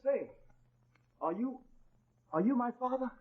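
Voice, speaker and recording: An actor playing an eager, earnest eighteen-year-old son in an old-time radio drama. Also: male voice, very high-pitched, expressive, unhurried, slightly rough, heavily acted. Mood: nervous, hopeful. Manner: earnest, pleading. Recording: muffled old radio recording.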